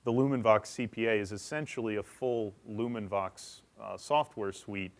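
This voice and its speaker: Man, lowish pitch, conference speaker, technical presentation, matter-of-fact, explanatory. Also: fairly even intonation, normal speed.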